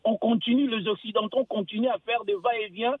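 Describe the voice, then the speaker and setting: A man's voice: sits high, fairly even intonation, a little fast, breathy edge, no room sound. A man from the Congo calling in to a radio show, speaking with impassioned emphasis over a phone line. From Democratic Republic of the Congo. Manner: impassioned, emphatic, swooping intonation. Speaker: caller. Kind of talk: call-in radio opinion.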